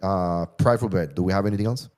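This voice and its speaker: A man with a Puerto Rican Spanish accent hosting a casual online chat, halting and full of fillers. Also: low-pitched, darkish tone. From Puerto Rico. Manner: halting, many fillers and repeats. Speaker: cybersecurity trainer and stream host.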